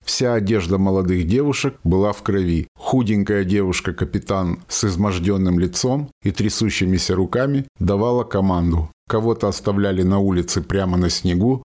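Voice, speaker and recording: A man with a fairly low voice narrates a war story. The delivery is scripted storytelling for a radio play. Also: moderate pace, few pauses, a little mellow.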